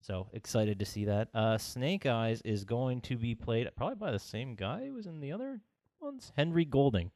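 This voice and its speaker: Lively, animated man chatting casually. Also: mid-range voice, moderate pace. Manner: animated, joking, trails off and restarts. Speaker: podcast co-host. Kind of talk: casual chat. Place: home studio, at a computer.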